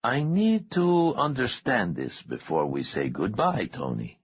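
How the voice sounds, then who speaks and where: A man voicing a calm, menacing male interrogator in an audiobook, soft-spoken, unhurried and falsely courteous. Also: lowish voice, dull audio, a little hiss or hum, pitched above the performer's own voice. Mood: calm menace. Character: calm, menacing balaclava-masked male interrogator with irritating accent. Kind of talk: audiobook character dialogue.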